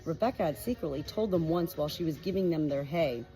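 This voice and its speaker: A woman reading an audiobook as an expressive storybook narrator. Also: low voice, quick pace, soft background music, poor audio. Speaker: audiobook reader.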